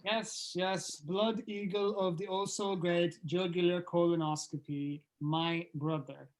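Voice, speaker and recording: A man's voice: high-pitched, steady tone, slow, rough recording. A man playing a grumpy, curt, irritable rocker who swears, in a script table read. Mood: curt, weary.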